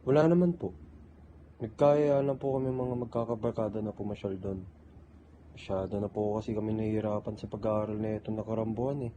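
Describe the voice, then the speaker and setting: A man's voice: on the low side, steady tone, a little fast, natural voice. Man acting a casual student, noisy recording. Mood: casual, polite. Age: young adult. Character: casual young male student, teasing, dismissive friend. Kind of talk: amateur Filipino radio drama acting. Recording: noisy amateur home recording.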